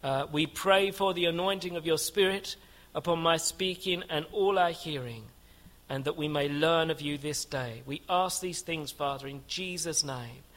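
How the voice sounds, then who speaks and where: A man preaching a sermon in a church, earnest and measured, rising to fervent prayer. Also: fairly high pitch, fairly bright tone. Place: church building.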